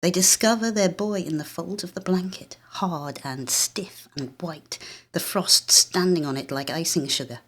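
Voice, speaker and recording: A woman with a British accent reading a literary short story in a measured, expressive, dramatic way. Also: fairly low pitch. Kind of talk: literary short story narration, first person.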